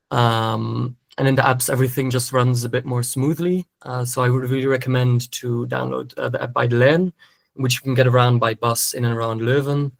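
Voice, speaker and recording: Gravelly, level-voiced young man from Belgium. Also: mid-range voice, no room sound. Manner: easygoing, explanatory, frequent fillers. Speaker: student association volunteer giving arrival tips. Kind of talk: webinar briefing.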